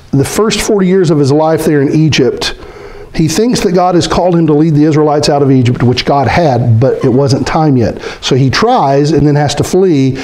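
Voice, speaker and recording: A middle-aged man preaching a sermon as pastor, animated and questioning his congregation, dropping low when he quotes scripture figures. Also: low-quality recording. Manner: animated, gestures a lot, asks the congregation questions. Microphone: stand mic in front of speaker.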